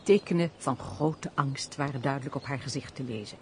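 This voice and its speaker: An actress playing a sensible, dryly teasing countess, speaking formally in a Dutch period radio play. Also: female voice, extremely low pitch, fairly quick, slight background noise. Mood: earnest, storytelling. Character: teasing, sensible countess, elder-sisterly and worldly.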